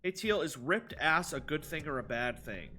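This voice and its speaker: Male game streamer, congested nose, rambling sarcastic banter, bright forceful tone. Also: fairly high pitch, very animated, moderate pace, a little hiss or hum. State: stuffed-up nose. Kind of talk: live gameplay stream, reading chat.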